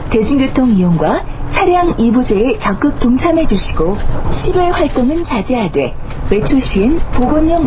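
A woman reading scripted bus stop announcements with clear, formal enunciation. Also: high voice, background noise.

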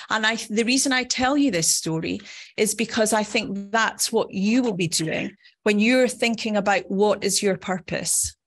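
A middle-aged Scottish woman speaks to a conference as a nursing professor. Her pitch sits in the usual range for a woman. She talks earnestly and conversationally, posing rhetorical questions as she presents. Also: fairly bright tone. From Scotland.

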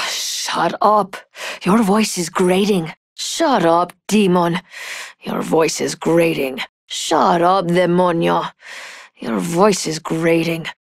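Scornful sultry thief for a game, lowish voice, really dry sound. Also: female voice, slow pace, creaky, no room sound, exaggerated voice. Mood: scornful, bitter.

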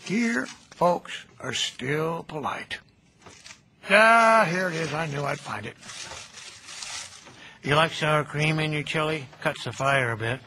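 An actor playing a kindly, folksy older cemetery caretaker in an audio drama, chatty and gentle in a homespun, nostalgic way. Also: male voice, on the high side, slow pace, pauses often, slight background noise, full character voice. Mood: friendly. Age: middle-aged. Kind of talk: naturalistic radio drama dialogue.

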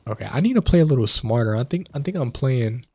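A man's voice: mid-range voice, quick pace, slightly rough. Stuffy-nosed man chatting over gameplay. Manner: chatty, addresses viewers as fellas, excited outbursts. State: stuffy nose from a cold. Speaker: gaming channel host. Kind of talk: casual gameplay commentary. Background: faint game gunfire.

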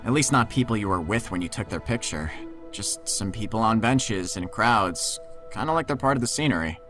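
A man's voice: fairly low pitch, animated, normal speed, fairly bright tone, faint music behind. A man playing an easygoing, concerned teenage boy with a casual, tentative way of talking in an audio drama. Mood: curious, encouraging. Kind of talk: naturalistic audio drama acting.

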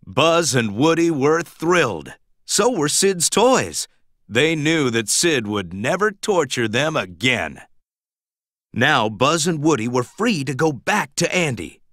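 A man reading a children's storybook aloud in an expressive, dramatic, emphatic storytelling manner. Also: fairly high pitch, slow pace, no echo, clean studio sound. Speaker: storybook narrator.

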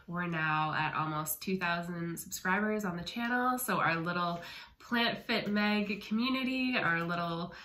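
A woman's voice: fairly low pitch, unhurried, pushed and bright. A woman in her thirties chatting casually as host of a family vlog at home. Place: indoor room at home. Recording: echoey room with clear background noise.

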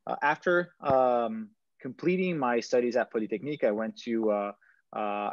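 A man's voice: medium pitch, dry recording. A man in his thirties from Brazil, giving a presentation on a video call, hesitant with many fillers and restarts. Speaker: startup founder. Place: indoors at home, stone wall behind. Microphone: video call webcam. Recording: dull lo-fi video call audio.

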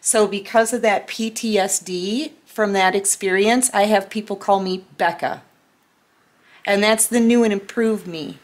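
A woman's voice: mid-range voice, fairly even intonation, measured pace. Earnest woman giving a tarot reading. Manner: earnest, emphatic, addresses listener directly with repeated check-ins. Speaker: tarot reader and channel host. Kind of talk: intimate spoken tarot reading to camera. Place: indoor room at a tarot table.

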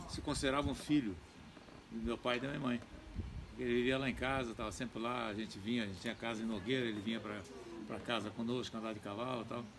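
An older man reminiscing haltingly about an old friend, seated outdoors. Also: mid-range voice, creaky, background noise. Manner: halting, repeating words as he recalls. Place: outdoor garden.

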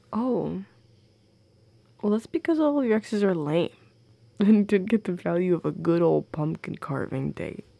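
Goth girlfriend, played by a woman for intimate roleplay audio: unhurried, gravelly fry, soft, playful, affectionate. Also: fairly low pitch, no echo, heavily acted. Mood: teasing, affectionate. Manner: teasing, giggly, intimate. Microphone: close, dry microphone.

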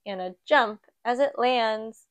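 A woman reads a children's picture book aloud at an unhurried pace, gentle and expressive. Her voice sits a little high, and the recording is close and dry with no room sound. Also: dry recording. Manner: slow, gentle and expressive, lifting for quoted lines. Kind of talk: read-aloud storytime narration. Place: indoors at a table, book filmed from above.

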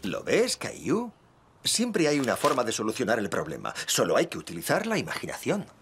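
A man playing a patient, playful father in a children's cartoon dub, gentle and good-humoured, with a Castilian Spanish accent. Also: medium pitch, huge pitch swings, brisk, background noise. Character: patient, playful young dad of a small boy.

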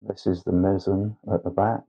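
Male painting instructor, lowish pitch, slow hesitant step-by-step delivery, slightly muffled recording. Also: level tone, gravelly, warm tone. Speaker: watercolour tutor. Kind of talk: instructional painting tutorial narration.

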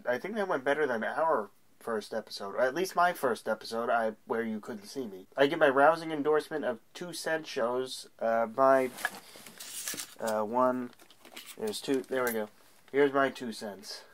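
A man's voice: medium pitch, moderate pace. A young man with a stuffy cold, chatting casually to camera as a sports show co-host, with frequent filler sounds. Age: young adult. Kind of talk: casual solo segment to camera. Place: small room at home.

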